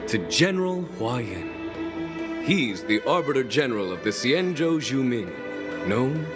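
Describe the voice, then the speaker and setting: An actor playing a gracious, composed general in a video game, speaking in a courteous, measured, formal way. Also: male voice, mid-range voice, big pitch swings. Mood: gracious, welcoming. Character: gracious, composed male general welcoming guests. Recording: loud music behind the voice.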